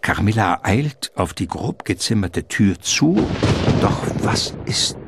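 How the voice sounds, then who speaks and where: Very deep, gravelly, slow male narrator. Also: expressive, heavy background noise. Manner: deliberate, suspenseful. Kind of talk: scripted radio drama narration.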